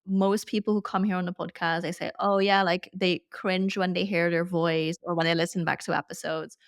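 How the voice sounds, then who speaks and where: A woman's voice: on the low side, little pitch movement, moderate pace, dry recording, studio quality. A woman hosting an interview podcast, speaking in an upbeat, enthusiastic way. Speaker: podcast host.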